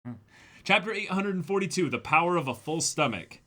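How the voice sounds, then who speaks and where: Animated man with a highish voice, chatting. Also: a little fast. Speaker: co-host of a livestreamed manga dramatic reading. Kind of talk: casual commentary and chat.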